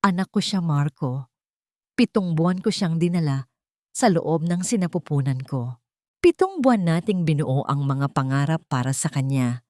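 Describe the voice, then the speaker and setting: A woman's voice: sits low, lively intonation, moderate pace, a little mellow, no room sound. Hurt Filipino wife and mother-to-be, voiced by a woman storyteller, indignant and pleading, dry story recording. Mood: pained, pleading. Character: wounded, defiant young wife and expectant mother.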